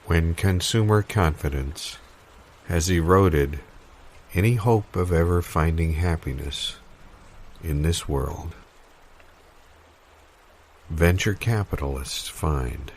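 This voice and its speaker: Guy doing pompous money guru, really deep voice, deadpan and portentous, noisy ambience behind him. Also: exaggerated voice. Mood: solemn. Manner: deadpan, portentous, halting phrases. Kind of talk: improvised audio comedy, mock-meditative pronouncements.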